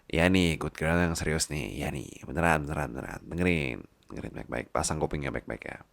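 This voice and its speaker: A man's voice: extremely low pitch, fairly quick, creaky, a bit bright, no echo, exaggerated voice. A man playing a doting, teasing husband, a babyish big boss, in an intimate ASMR roleplay, coaxing playfully and dropping into whispers. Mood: insistent, playful.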